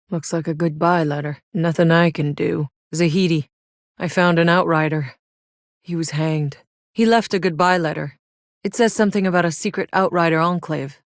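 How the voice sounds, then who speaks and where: A woman's voice: sits low, steady tone, moderate pace, no echo, clean studio sound. An actress playing a tough, sardonic female soldier in a sci-fi shooter game, with a wry, blunt delivery. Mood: focused, businesslike. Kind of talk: video game voice lines, naturalistic acting.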